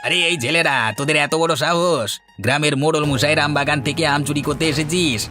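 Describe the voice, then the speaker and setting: A man's voice: a little high, steady tone, fast, pushed and bright. A man playing an angry, threatening village orchard guard in a fairy-tale cartoon, with music behind. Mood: furious, threatening.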